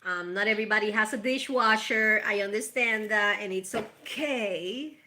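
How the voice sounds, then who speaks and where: A woman's voice: mid-range voice, normal speed, noisy background. A woman from Puerto Rico with a Puerto Rican accent and Spanish as her first language, hosting a casual tarot reading and speaking chattily and affectionately to her viewers. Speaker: tarot reader and channel host. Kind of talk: casual live tarot reading with spiritual advice.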